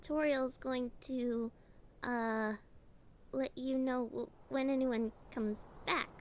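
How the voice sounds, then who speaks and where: A woman playing a timid, anxious, nerdy female lizard monster in an audio drama, stammering and halting with nervous giggles. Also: high voice, steady tone, a little hiss or hum. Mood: nervous, guilty.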